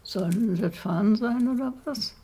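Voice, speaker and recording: A woman's voice: fairly high pitch, normal speed, warm tone, a little hiss or hum, lightly acted. An actress playing a blunt, scornful, long-established village woman in a naturalistic radio play. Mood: disdainful.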